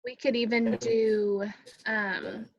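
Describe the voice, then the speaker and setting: A woman's voice: fairly high pitch, flat delivery, slow pace, no room sound. A young woman talking casually as she coordinates scheduling on a video call. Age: young adult. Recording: slightly dull video-call audio.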